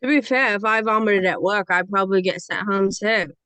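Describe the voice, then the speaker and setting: A woman's voice: mid-range voice, fairly quick, sharp tone, dry recording, close to an everyday voice. A woman playing a laid-back, middle-aged slacker friend in a script read-through, wry and unbothered.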